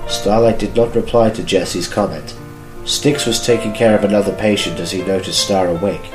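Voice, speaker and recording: A man's voice: on the low side, fairly even intonation, moderate pace, roomy sound. A man reading scripted story narration for an audio drama. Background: music.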